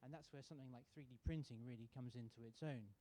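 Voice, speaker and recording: Man from the UK, sponsor rep at a lectern, soft warm tone, hesitant delivery. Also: mid-range voice, low-quality recording. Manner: relaxed, halting with many fillers and restarts. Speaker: sponsor representative. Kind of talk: welcome speech at a contest. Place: university auditorium.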